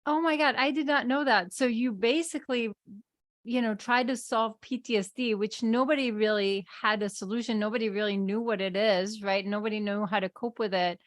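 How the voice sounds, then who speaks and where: Effusive woman host, somewhat high voice, dry close-mic recording. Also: steady tone, moderate pace, no room sound. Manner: enthusiastic, effusive. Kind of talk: podcast interview.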